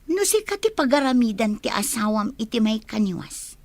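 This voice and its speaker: A woman's voice: on the high side, sing-song, normal speed, a little mellow, no room sound. A woman playing a suspicious, meddling friend who hints carefully in an Ilocano radio drama. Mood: probing, suspicious.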